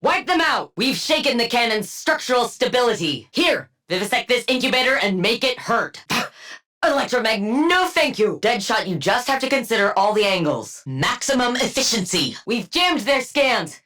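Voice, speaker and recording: A woman's voice: fairly high pitch, sing-song, normal speed, sharp tone. An actress voicing a brainy, exacting woman scientist supervillain for a video game, precise and nerdy, with a dry sardonic edge. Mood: urgent, forceful. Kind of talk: video game combat barks and banter.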